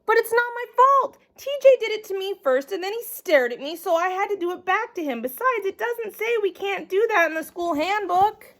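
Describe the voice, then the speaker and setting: A woman's voice: animated, normal speed, hardly any pauses, fairly bright tone. A woman reading aloud, voicing a young schoolchild who protests and whines that nothing is ever their fault. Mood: whining. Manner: high, protesting, whining. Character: whiny young schoolchild always dodging blame.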